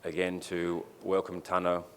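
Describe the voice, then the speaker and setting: A man's voice: low voice, monotone, measured pace. A male club official moderating a press conference and answering a question in a loose way. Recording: distant reverberant room with faint noise.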